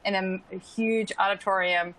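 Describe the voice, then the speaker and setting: A woman's voice: medium pitch, steady tone, moderate pace, fairly bright tone, no room sound, poor audio. A woman speaking as a panelist in an online discussion, casual and sprinkled with "like" and "um". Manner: casual, frequent "like" and "um".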